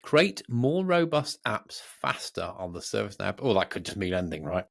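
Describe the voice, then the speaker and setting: A man's voice: on the low side, sing-song, fairly quick, creaky, a bit bright. A British man co-hosting a podcast in casual, unscripted tech chat, rambling, jokey and easily sidetracked. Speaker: podcast co-host.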